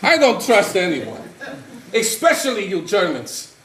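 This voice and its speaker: Man acting a high-voiced, swaggering prisoner. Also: wildly swooping pitch, a little slow, a bit bright, exaggerated voice. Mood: suspicious, menacing. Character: wary, blunt, swaggering Italian male prisoner, opera lover. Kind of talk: stage play acting. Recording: roomy stage recording, faint noise.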